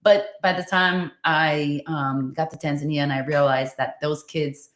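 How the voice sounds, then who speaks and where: A woman's voice: deep voice, fairly even intonation, a little slow, a bit bright, roomy sound. A woman from Finland chatting casually in an interview, with many fillers and easy laughter. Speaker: guest running a school in Tanzania.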